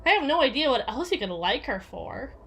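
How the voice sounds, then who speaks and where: A woman performs a domineering yandere in an audio roleplay, jealous and conniving. Her high voice swings expressively as she taunts with theatrical, biting sarcasm. A faint noise sits behind the recording. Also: sing-song, fairly quick, a bit bright, heavily acted. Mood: sardonic, teasing. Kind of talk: ASMR roleplay audio drama.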